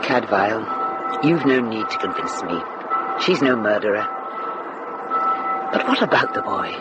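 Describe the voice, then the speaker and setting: A woman's voice: rumbling low, lively intonation, measured pace. A woman playing a shrewd, kindly, mature medieval nun in a radio drama, frank and wry in manner. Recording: dull recording with music behind.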